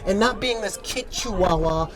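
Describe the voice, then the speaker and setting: Male panelist, fairly high pitch, emphatic opinions, casual delivery, noticeable crowd noise. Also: moderate pace. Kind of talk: casual fan panel discussion. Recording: crowd chatter, wind on microphone.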